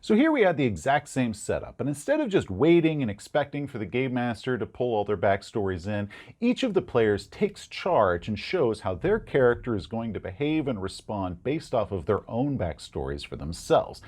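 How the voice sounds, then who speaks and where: A man's voice: mid-range voice, big pitch swings, moderate pace, darkish tone. A man presenting a podcast with prepared, didactic commentary and emphatic points.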